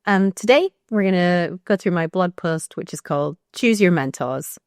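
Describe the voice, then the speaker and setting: A woman with a croaky voice reading her own blog post aloud, measured and thoughtful with brief restarts. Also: fairly low pitch, lively intonation, dry recording, studio quality. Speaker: researcher narrating her blog.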